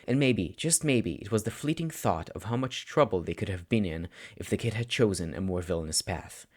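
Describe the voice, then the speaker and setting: A man reading scripted story narration aloud, audiobook style, with expressive, dramatic phrasing. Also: low-pitched, brisk. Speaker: audiobook narrator of fan fiction.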